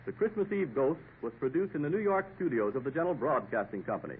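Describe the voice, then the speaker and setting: A man's voice: a little high, expressive, fast. An American man announcing and narrating a 1950s radio drama in a formal, dramatic storytelling manner. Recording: tinny, hissy 1950s radio recording.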